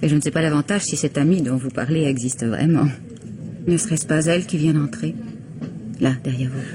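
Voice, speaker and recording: A woman's voice: low-pitched, a little fast, lightly acted. An actress playing a reserved, skeptical young woman in an old French radio play, with a guarded, terse way of talking. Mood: cool, wary. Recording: noisy old radio drama recording.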